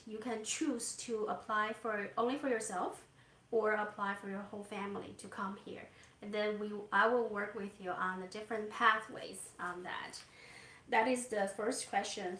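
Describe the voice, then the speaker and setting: A soft-spoken woman who works as an immigration consultant, explaining steps gently with hesitant fillers. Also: mid-range voice, echoey room. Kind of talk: explaining to a live audience. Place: Canada.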